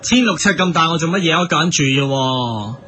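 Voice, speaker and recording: A man's voice: fairly high pitch, expressive, brisk, sharp tone, a little hiss or hum, voice pushed down. A man playing a picky, blunt customer looking for a home in a comic radio sketch.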